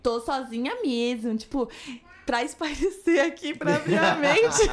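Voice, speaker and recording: A woman from Brazil, a podcast guest chatting casually and telling stories with quick asides and quoted voices. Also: high voice, big pitch swings, crowd noise. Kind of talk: casual chat.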